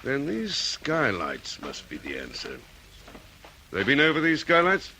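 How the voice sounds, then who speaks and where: An actor playing a methodical, questioning police detective in an Australian radio drama, with an Australian accent. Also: male voice, mid-range voice, huge pitch swings, pushed and bright, a little hiss or hum, full character voice. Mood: reasoning. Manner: deliberate, questioning.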